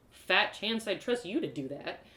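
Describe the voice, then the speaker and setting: Woman playing feisty adventurer girl, sarcastic, blunt, jumpy pitch, echoey room. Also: expressive, unhurried, bright, forceful tone. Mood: indignant. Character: feisty, stubborn, irritable young female adventurer. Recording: roomy echo.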